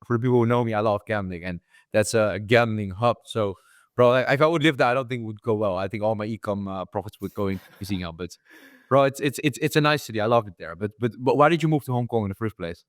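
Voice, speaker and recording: A man's voice: fairly low pitch, quick pace, no echo, studio quality. A young man who grew up in the Netherlands, hosting a casual interview podcast, with loose talk full of repeated words and "bro". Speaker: podcast host.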